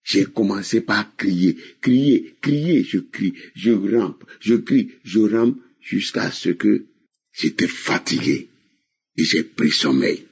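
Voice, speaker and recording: Slow, husky man in his sixties. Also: lowish voice, expressive, lo-fi sound. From Benin. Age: 60s. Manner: slow, emphatic, repeating phrases. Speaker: testimony caller. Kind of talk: spoken testimony.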